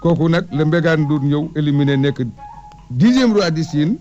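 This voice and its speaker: High pitched guy telling old history, rattling off names emphatically, music behind him, kinda muffled. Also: lively intonation, normal speed, a little mellow. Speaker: oral historian. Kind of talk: recounting history and lists of kings in conversation.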